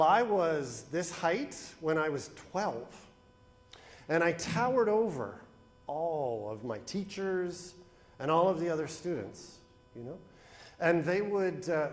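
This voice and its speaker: Booming man speaking at an event. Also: animated, unhurried, echoey room. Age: young adult. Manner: loud, booming, engaging the crowd. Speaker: guest speaker, mental health educator. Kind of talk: motivational talk to an audience. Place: event hall. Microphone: handheld microphone.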